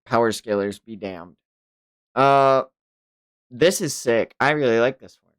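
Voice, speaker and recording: A man's voice: medium pitch, measured pace, smooth, no room sound, clean studio sound. A man hosting a manga read-along, mixing narration with enthusiastic, casual fan commentary. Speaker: host reading a manga aloud on livestream.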